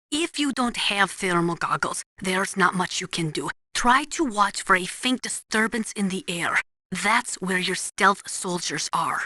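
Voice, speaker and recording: A blunt female military analyst in a video game. Her voice is bright and forceful at a middling pitch, recorded very dry. She gives precise, matter-of-fact instruction like a weapons expert. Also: no room sound. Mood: measured, advising. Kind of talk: video game radio briefing.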